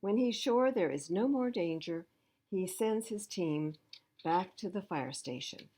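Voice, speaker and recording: A woman reading a picture book aloud to young children as a library storyteller, pausing to explain things simply. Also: lowish voice, lively intonation, warm tone, a little hiss or hum.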